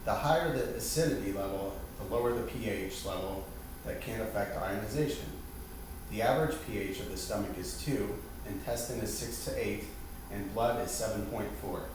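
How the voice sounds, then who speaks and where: A man with a slightly low voice delivers a scripted lesson in a formal, instructional way. He sounds far from the microphone in a very echoey space, with clear background noise. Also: moderate pace. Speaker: lesson presenter quizzing a partner. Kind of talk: scripted educational presentation, read out. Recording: very echoey, distant mic, noisy background.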